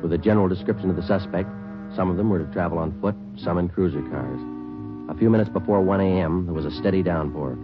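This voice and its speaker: Deadpan, low-voiced police detective played by a man. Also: fast talker, natural voice. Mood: matter-of-fact. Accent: American. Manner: deadpan, matter-of-fact, clipped. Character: terse, level-headed male police detective narrating his case. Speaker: narrator and lead actor. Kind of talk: 1940s radio crime drama, clipped documentary narration. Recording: muffled old 1940s radio recording, music behind.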